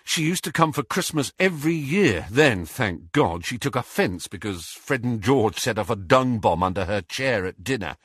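A man's voice: medium pitch, very animated, moderate pace, few pauses, a bit bright, husky, no echo, studio quality. A man voicing a blunt, good-natured teenage boy in an audiobook, grumbling in a casual, offhand way.

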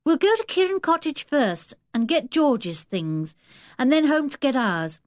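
A woman voicing a brisk, energetic teenage boy who calls out in a children's audiobook, with a British accent. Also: high-pitched, dry recording, clean studio sound, voice pushed up. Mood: brisk, agreeable. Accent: British English. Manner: calling out, brisk. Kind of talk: children's audiobook character acting.

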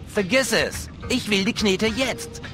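A man's voice: high-pitched, animated, takes it slowly. A man playing an insistent, sarcastic police colleague who demands his money back in an audio drama. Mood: irritated demanding. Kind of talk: audio drama acting of a video game story. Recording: music under the voice.

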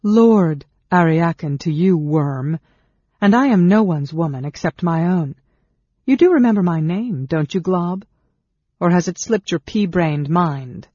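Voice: mid-range voice, lively intonation, measured pace, warm tone, breathy edge, no room sound, dull audio, pitched above the performer's own voice. A narrator voicing a bold, cool woman warrior who speaks in a commanding, scornful manner in a fantasy storybook.

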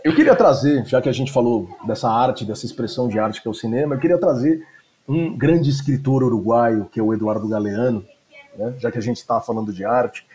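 A man's voice: medium pitch, fairly quick, warm tone, low-quality recording. A male teacher giving a lecture, digressing with frequent asides and fillers as he talks. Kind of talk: lecture discussion.

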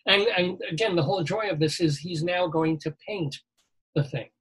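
Middle-aged male radio host with a husky voice; wisecracking news reader. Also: fairly high pitch, normal speed, a bit bright, low-quality recording. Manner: jokey, reads news items with quips. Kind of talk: comedic news chat.

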